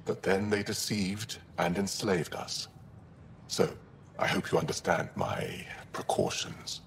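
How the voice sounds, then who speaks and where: Gravelly, solemn alien ambassador. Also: male voice, deep voice, exaggerated voice. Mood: solemn, wary. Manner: measured, formal, solemn. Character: grave alien male ambassador, guarded but hopeful. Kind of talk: dramatic video game acting. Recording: roomy long reverb, faint background noise.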